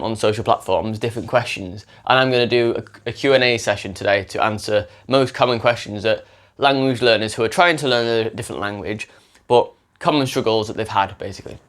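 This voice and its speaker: A man's voice: mid-range voice, fairly bright tone. Young guy language tutor just chatting to camera, loose and rambly, keeps restarting sentences. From English-speaking country. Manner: rambling, self-correcting, many restarts. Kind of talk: unscripted talk to camera.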